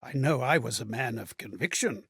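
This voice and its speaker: An actor voicing an earnest, melancholy male spirit with no memory of his own, speaking a video game monologue in formal, measured, theatrical phrases. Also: medium pitch, expressive, gravelly, a bit bright, husky, no room sound, clean studio sound, exaggerated voice. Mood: puzzled, reflective. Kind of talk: dramatic scripted game monologue.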